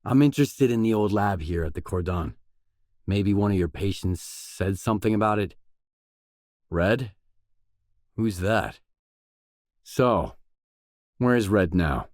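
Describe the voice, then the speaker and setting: A determined male survivor in a video game, deep and slow, matter of fact, guarded, dry studio sound. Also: lively intonation, darkish tone, no room sound. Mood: probing, businesslike. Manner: terse, probing, matter-of-fact. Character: guarded, determined male stalker mercenary in post-apocalyptic zone. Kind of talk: isolated video game dialogue lines.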